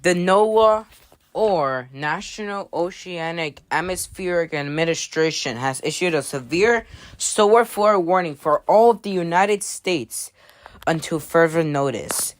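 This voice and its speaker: A woman's voice: fairly low pitch, lively intonation, unhurried, dry recording. A woman playing an emergency alert announcer, reading a scripted official warning in a formal, slightly clumsy way. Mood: official, urgent.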